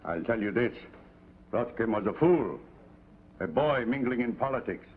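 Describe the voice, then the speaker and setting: A man's voice: medium pitch, expressive, moderate pace, close to an everyday voice. An actor playing a stern, bitter older father in a vintage television drama, forbidding and terse. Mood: stern, scornful. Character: stern, bitter old father of slain sons. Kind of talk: 1950s television drama acting. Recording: tinny, hissy vintage TV soundtrack.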